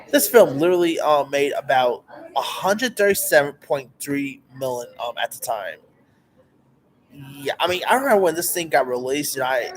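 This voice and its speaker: A man's voice: medium pitch, poor audio. A man hosting his own channel, reviewing a movie in a casual, rambling way, with lots of fillers and restarts and plenty of enthusiasm.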